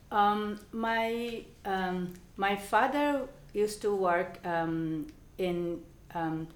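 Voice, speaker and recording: A woman with a Bangladeshi accent speaks slowly and carefully, pausing on ums and restarting her sentences as she recalls her family. The room around her gives her voice a noticeable echo. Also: on the low side. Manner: halting, many ums and restarts. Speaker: interviewee. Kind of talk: oral history interview.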